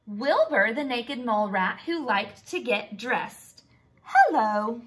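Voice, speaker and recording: Animated, bright-voiced woman teaching young children. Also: fairly high pitch, slow, slightly rough. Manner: expressive, simple words, prompts listeners with questions and praise. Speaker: teacher reading a storybook aloud to young children. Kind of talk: read-aloud lesson for young children, question and answer.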